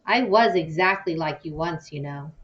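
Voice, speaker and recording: A woman's voice: low voice, moderate pace, a bit bright, distant mic. An earnest, determined schoolgirl from a children's storybook, voiced by a woman reader. The voice sits a little back from the microphone and sounds slightly muffled. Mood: confiding.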